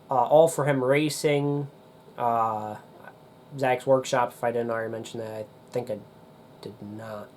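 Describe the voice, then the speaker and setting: Young male vlogger, nasal and congested, mid-pitched, casual rambling delivery. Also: a little mellow, slight background noise. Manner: rambling, hesitant with many ums. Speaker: demolition derby YouTuber. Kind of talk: casual chat to camera.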